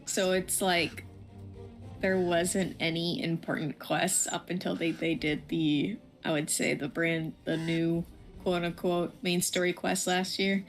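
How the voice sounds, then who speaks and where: A woman's voice: fairly low pitch, fairly even intonation, unhurried, soft background music. A woman co-hosting a game livestream, chatting casually as she plays. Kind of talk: casual chat while gaming.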